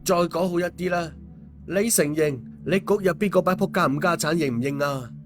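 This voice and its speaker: A man voicing an excitable, cowardly, complaining man in an audiobook. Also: on the high side, normal speed, faint music behind, natural voice. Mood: indignant protesting. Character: excitable, cowardly, wheedling man who protests loudly. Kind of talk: audiobook character dialogue.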